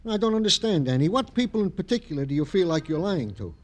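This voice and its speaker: A man's voice: sits high, expressive, fast. A man acting an earnest, simple-minded police sergeant sidekick with roundabout phrasing in a vintage radio drama. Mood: earnest, puzzled. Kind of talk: 1950s radio comic character acting.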